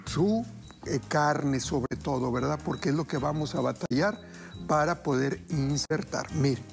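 A man's voice: on the high side, vocal fry. A middle-aged man hosting a TV cooking show, chatty and folksy, talking to viewers while he cooks. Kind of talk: cooking demonstration. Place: TV studio kitchen set.

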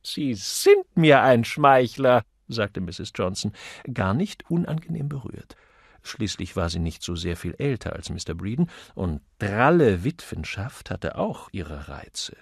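A man narrating an audiobook as expressive, scripted literary storytelling. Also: deep voice, a little slow, creaky, husky, no room sound, studio quality.

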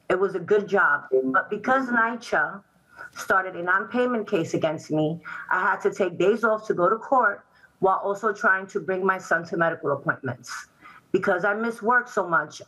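A woman in her forties reads a prepared statement at a public hearing, her tone bright and crisp. She speaks in measured, earnest phrases into a webcam from a room at home. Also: mid-range voice, poor audio. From Puerto Rico, raised in New York City. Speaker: client testifying at a public hearing. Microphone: video call webcam.

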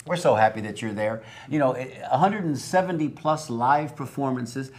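A man's voice: medium pitch, moderate pace. A middle-aged man hosting a live local TV talk show from a studio desk, talking in an upbeat, folksy way with fillers. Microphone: lapel mic.

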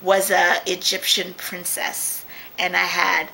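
A young woman talking casually to her webcam from a small room at home, chatty and quick to laugh, often restarting her sentences. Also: on the low side, monotone, vocal fry, sharp tone, rough recording. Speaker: vlogger. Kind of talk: casual video diary. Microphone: webcam at close range.

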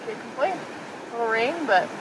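A young woman recaps her days in an easygoing, cheerful way, with a slightly higher pitch. She is recorded on a camera mic in a vehicle and outdoors, and steady background noise is clearly heard. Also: moderate pace. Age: young adult. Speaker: road trip vlogger. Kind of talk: casual travel diary narration. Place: in a truck and outdoors at a campsite.

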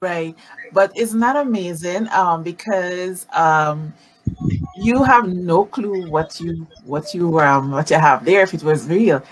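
A woman in her forties hosting a live-streamed interview from home over a video call, animated and greeting viewers by name. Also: lowish voice, slow, a little hiss or hum, rough recording. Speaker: talk show host. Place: home room, indoors. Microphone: video call webcam.

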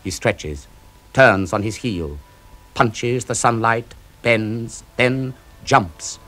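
A man narrating an epic poem in a scripted reading, with a measured and dramatic delivery. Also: mid-range voice, expressive, sharp tone, soft background music.

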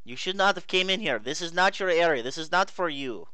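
Brief: a man doing a bright, crisp-voiced warlord in broken English, hot-tempered, high-pitched and shouty. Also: expressive, brisk, dry recording. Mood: indignant. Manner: broken English, pleading then cursing and shouting. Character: hostile male local warlord, broken English. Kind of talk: milsim roleplay.

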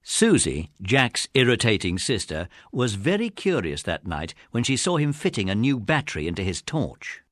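A man's voice: on the low side, very animated, normal speed, hardly any pauses, a bit bright, dry recording, studio quality. A man narrating a children's adventure story from a script. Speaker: audiobook narrator.

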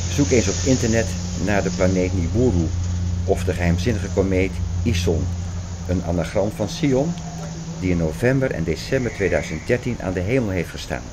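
A serious male theorist of dark prophecy, played by an actor in an audio drama. His voice is low and his delivery deliberate and instructive. Background noise is clearly present. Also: lightly acted. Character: Klaus, serious man urgently explaining dark prophecies. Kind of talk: scripted audio drama dialogue.

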